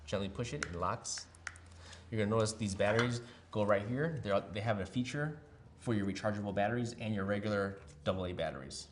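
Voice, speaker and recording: A man's voice: fairly low pitch, brisk, slight background noise. A man giving a casual, hands-on product demonstration at a table in a room. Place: room with brick wall, at a table.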